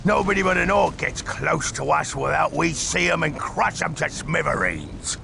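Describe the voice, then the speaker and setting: Gravelly, high-strung orc boss. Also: male voice, lively intonation, normal speed, background noise. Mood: boastful. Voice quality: gravelly, growling. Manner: broken grammar, boastful, exclamatory. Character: boastful, excitable orc stronghold boss, uncouth and easily panicked. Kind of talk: video game cutscene acting.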